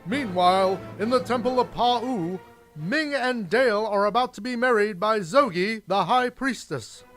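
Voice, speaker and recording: A man's voice: sits high, a little slow, a bit bright, soft background music. A man narrating a scripted adventure serial in old-time radio style.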